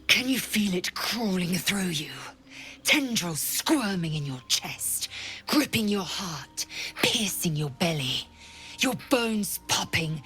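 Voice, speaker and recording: A fierce alien warrior woman with a deep voice and harsh, clipped words that carry a threat. She delivers her lines slowly over soft game music. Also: heavily acted. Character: fierce, distrustful female warrior from an alien race. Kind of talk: video game voice acting.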